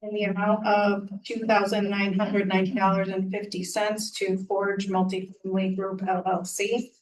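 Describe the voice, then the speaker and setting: A woman's voice: mid-range voice, normal speed. A woman on a town board reading out motions and dollar amounts in a businesslike way. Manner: flat, businesslike reading of figures. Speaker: town selectwoman. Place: meeting room. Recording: voice from far off the mic.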